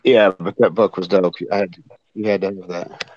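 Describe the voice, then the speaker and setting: A man in casual chat about comic artists, excited and swearing freely. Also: sits low, a little fast, dry recording. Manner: excited, profane. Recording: dull lo-fi sound.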